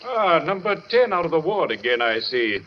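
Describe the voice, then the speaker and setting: An actor playing a condescending, formal sanitarium doctor in a radio horror drama, on a muffled old recording. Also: male voice, a little high, sing-song, normal speed, noisy background. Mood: stern, officious. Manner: formal, officious, patronizing. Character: stern, condescending male sanitarium doctor, smoothly polite.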